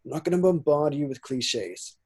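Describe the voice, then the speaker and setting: A man's voice: fairly high pitch, lively intonation, normal speed, a little mellow, slightly rough. A man playing a crude, cheerful young slacker in an amateur comedy table read, with sweary, matey banter. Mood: consoling. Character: crude, cheerful, loyal young male slacker best mate. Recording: home-recorded remote read.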